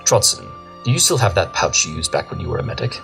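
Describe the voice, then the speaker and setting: Guy doing a super low gravelly cartoon detective horse, snooty, wordy, know-it-all. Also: very animated, quick pace, fairly bright tone, soft background music, heavily acted. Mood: brisk, lecturing. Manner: pompous, verbose, precise. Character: arrogant, brilliant pony detective, dryly condescending. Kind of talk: scripted audio drama acting.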